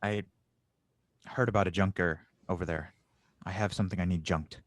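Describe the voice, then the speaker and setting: A man's voice: low-pitched, fairly even intonation, normal speed, no room sound, natural voice. Man as terse, guarded young fugitive, dry sound. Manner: terse, evasive. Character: guarded, defiant young male fugitive inventor. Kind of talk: fan audio drama table read.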